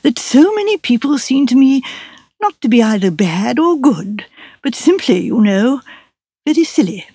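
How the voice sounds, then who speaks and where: A kindly old spinster aunt as a woman narrator plays her chats in a mild, earnest, slightly fussy way. Her voice lifts a little in pitch and is recorded dry. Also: sing-song, normal speed, mellow, husky, no echo, exaggerated voice. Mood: gently doubtful.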